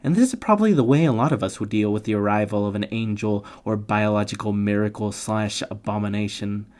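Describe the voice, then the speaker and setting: Low-voiced man narrating with playful asides. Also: a little fast, darkish tone. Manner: playful, tongue-in-cheek, slangy asides. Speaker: narrator of literature summary videos. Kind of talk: scripted narration with comic asides.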